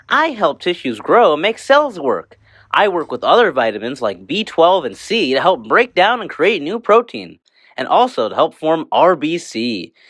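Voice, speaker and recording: An actor plays a cocky male vitamin character in an educational skit. He is sarcastic and self-satisfied, with a casual delivery. His pitch leaps around a lot, and the sound is dry, with no room to it. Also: a bit bright, no room sound, full character voice. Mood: smug.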